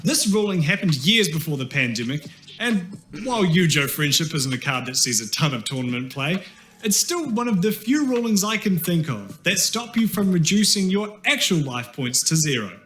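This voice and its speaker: High, animated Kiwi man narrating wryly. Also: normal speed, music in the background. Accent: New Zealand. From New Zealand. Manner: wry, tongue-in-cheek. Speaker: video essayist. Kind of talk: scripted comedic narration.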